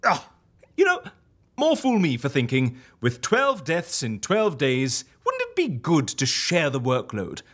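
An actor playing an excitable, sarcastic head of an agency in a comedy audio drama, talking theatrically and repeating words for effect. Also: male voice, a little high, measured pace, heavily acted. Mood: exasperated, sarcastic.

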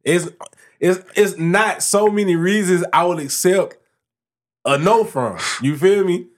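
A man's voice: high-pitched, unhurried. A man co-hosting a podcast, chatting casually and explaining his view at length, often restarting his sentences. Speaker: podcast co-host.

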